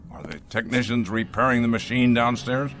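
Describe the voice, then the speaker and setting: A man's voice: medium pitch, moderate pace, a bit bright, faint music behind, close to an everyday voice. An actor playing a cold, arrogant factory owner in an audio drama, lecturing pompously about his machines. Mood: smug, condescending. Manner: pompous, lecturing, smooth salesman's patter.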